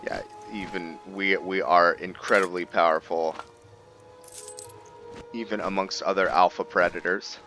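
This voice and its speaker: A man's voice: low-pitched, steady tone, a little slow, long pauses, sharp tone, soft background music. A man giving casual roleplay game commentary, rambling and thinking aloud to viewers as he plays. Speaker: gaming video host.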